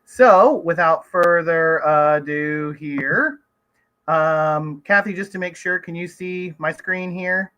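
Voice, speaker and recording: A man's voice: fairly high pitch, slow pace, sharp tone, clean tone. A man with a scratchy voice from a cold gives an online educational presentation to patients, talking in a conversational way with frequent fillers. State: hoarse from a cold. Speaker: doctor presenting to a patient group. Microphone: video call.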